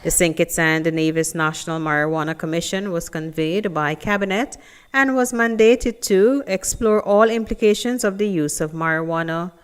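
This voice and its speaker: Lady reading the news, lowish voice, really clear. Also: moderate pace. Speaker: news reporter. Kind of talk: scripted news narration.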